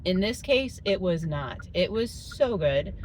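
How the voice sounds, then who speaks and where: A woman's voice: fairly low pitch, measured pace, poor audio. A middle-aged woman chatting informally to camera as a family vlogger, in a casual, talkative way. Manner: informal, chatty, laughs often. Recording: steady car and road noise.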